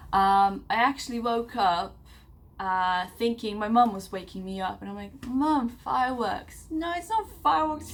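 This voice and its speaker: Woman in her late twenties from Serbia, relaxed rambling vlogger, mid-pitched, fillers and restarts. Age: 20s. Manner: chatty, rambling, frequent fillers and self-corrections. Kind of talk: casual personal vlog.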